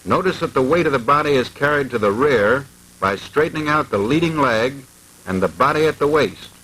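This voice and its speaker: Old timey army film narrator guy, formal and measured, sharp voice with some rasp. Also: mid-range voice, animated, rough recording. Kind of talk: scripted 1940s instructional film narration.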